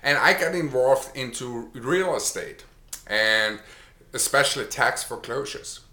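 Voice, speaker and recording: Man, Austrian accent, middle-aged, bright clear tone, chatty and halting, echoey room. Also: medium pitch. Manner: chatty, repeats words and restarts with many uh's. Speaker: online marketer. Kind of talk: casual personal story to camera.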